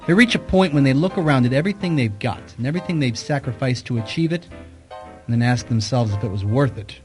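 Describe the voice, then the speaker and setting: A man playing an observant hard-boiled private investigator who narrates his case in a wry, measured radio mystery style. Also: medium pitch, animated, a little mellow, soft background music, close to an everyday voice. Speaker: narrator and detective. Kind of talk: radio mystery drama acting with first-person narration.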